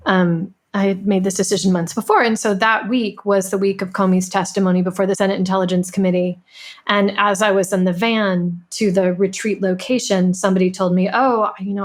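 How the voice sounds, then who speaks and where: Female playwright as interview guest, casual storyteller. Mid pitch, very level melody. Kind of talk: casual anecdotal interview talk.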